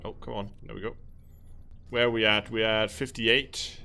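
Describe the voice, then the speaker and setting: A man's voice: level tone, moderate pace, creaky, pushed and bright, a little hiss or hum. A man with a Danish accent thinking aloud in casual commentary while live-streaming a game. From Denmark. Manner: thinking aloud, musing, low-key.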